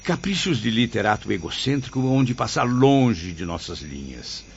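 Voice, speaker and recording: An actor playing the sorrowful spirit of a cultured 65-year-old writer in a radio drama, speaking with solemn, formal, literary diction. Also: male voice, fairly low pitch, big pitch swings, normal speed, creaky, lo-fi sound, slight background noise. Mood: courteous, formal. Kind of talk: dramatic radio play monologue.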